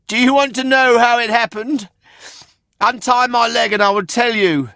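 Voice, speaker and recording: High, chirpy puppet boy. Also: normal speed, creaky, sharp tone, smooth, no room sound, studio quality, exaggerated voice. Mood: cheeky. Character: naive, cheeky young wooden puppet boy.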